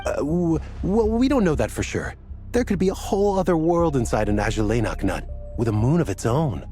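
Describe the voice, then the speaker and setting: Earnest, rambling game character. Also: male voice, a little high, big pitch swings, a little fast, warm tone, soft background music. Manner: earnest, rambling storyteller, a bit dazed. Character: dazed desert wanderer, adult man, earnest. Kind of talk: video game voice acting.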